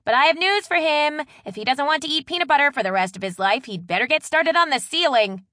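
A woman voicing a bossy, exasperated younger sister in an audiobook, loud, emphatic and full of complaint. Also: a little high, big pitch swings, moderate pace, no echo, studio quality, voice pushed up. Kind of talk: audiobook character dialogue.

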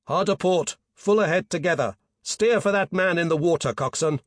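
A man voicing a commanding naval officer in an audiobook, shouting clipped, urgent orders. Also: a little high, normal speed, no echo, clean studio sound, pitched above the performer's own voice, close to an everyday voice.

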